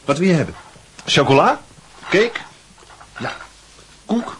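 A man's voice: a little high, big pitch swings, takes it slowly, noisy background. A man playing a breezy, boastful teenage schoolboy in a Dutch radio play, chatty and full of worldly-wise airs. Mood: jovial, hospitable.

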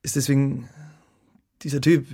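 An actor playing an insecure, jealous young man in love in a radio drama, husky and insistent, pressing with short questions. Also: mid-range voice, flat delivery, moderate pace, mellow. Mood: jealous, indignant. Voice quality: husky, gravelly, breathy. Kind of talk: intimate radio drama acting.

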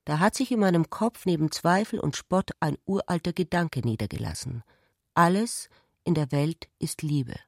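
A woman's voice: low voice, normal speed, breathy edge, dry recording, studio quality. A woman giving scripted literary narration in a radio play.

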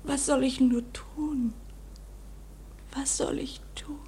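Tired nosy young secretary, highish breathy voice, polite, jumpy, old radio play with some hiss. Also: female voice, steady tone, slow, mellow. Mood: alarmed, hushed. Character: tired, curious young secretary, easily alarmed. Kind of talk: German radio play acting.

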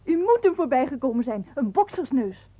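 A curious, provocative lady in an old radio drama, cheerfully relishing trouble. Her high voice comes through dry and muffled, with narrow broadcast sound. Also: big pitch swings, brisk, breathy edge, dry recording, heavily acted. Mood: brisk, approving. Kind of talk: radio play acting.

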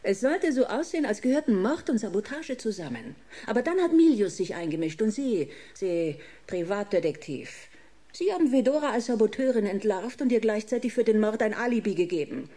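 An actress plays a smug female gangster boss from a radio crime play. Her mellow voice swoops freely as she mocks and gloats, savouring every threat with theatrical relish. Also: mid-range voice, expressive, fairly quick, close to an everyday voice. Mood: smug confession. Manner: mocking, theatrical, savouring each word. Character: smug murderous female corporate director playing a gangster boss. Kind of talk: German radio crime drama acting.

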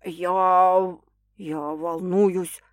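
A woman reader puts on a serious, worried man from a romance audiobook. The voice is lowered and heavy, with slow, halting phrases. The sound is dry and close. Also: dry recording, natural voice. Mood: anxious, flustered. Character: gruff, anxious, shocked man in love, expectant father.

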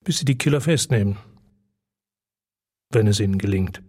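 Radio drama male lead: unhappy, vengeful loner, mellow rough-edged voice, terse and guarded delivery. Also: fairly low pitch, expressive, normal speed. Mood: bitter, weary. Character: world-weary, unhappy, distrustful man bent on revenge. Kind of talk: German radio drama acting.

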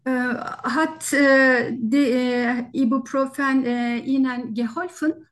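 A high-pitched woman speaks German with a foreign accent. She talks slowly and formally as a doctor questioning a patient, hesitant and searching for each word. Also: steady tone. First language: not German. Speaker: doctor taking a patient history in exam practice. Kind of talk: halting structured medical interview in role-play.

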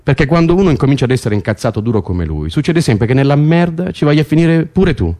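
An actor playing a bossy, coaxing prisoner in a naturalistic radio drama, insistent and teasing, often repeating himself. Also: male voice, a little high, big pitch swings, quick pace, warm tone. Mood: warning. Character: bossy, coaxing, excitable male prisoner rehearsing a play.

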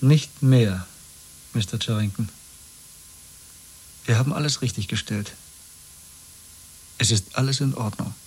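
An actor playing a weary, earnest ministry worker, speaking tersely in a subdued, husky voice, in a 1970s radio drama. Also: male voice, mid-range voice, a little slow, long pauses, slight background noise, close to an everyday voice, rough recording.

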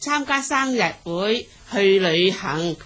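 An actress playing a sociable, helpful older auntie in a radio drama, breezy and encouraging. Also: female voice, mid-range voice, expressive, takes it slowly, a bit bright. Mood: cheerful.

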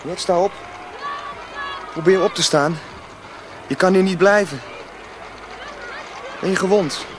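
An earnest teenage boy in love in a radio play. He speaks softly and haltingly, in an intimate way. Some noise lies behind the voice. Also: high-pitched. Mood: urgent. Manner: soft, halting, intimate speech. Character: tender, earnest seventeen-year-old boy in love, young rebel. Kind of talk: radio drama acting. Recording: noisy radio play, partly echoey and distant.

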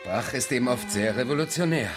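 A man's voice: mid-range voice, animated, fairly quick, music in the background, natural voice. A man acting an exacting, enthusiastic amateur musician in a radio play. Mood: insistent. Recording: strings playing loudly behind.

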